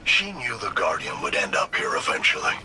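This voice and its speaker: A man voicing a snide, needling little machine companion in a video game, sardonic and animated. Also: lowish voice, a little fast, noisy background. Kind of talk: video game character dialogue.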